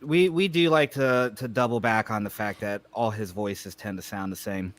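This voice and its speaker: A man's voice: on the low side, normal speed. Give me a man with a slight lisp. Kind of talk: casual group chat.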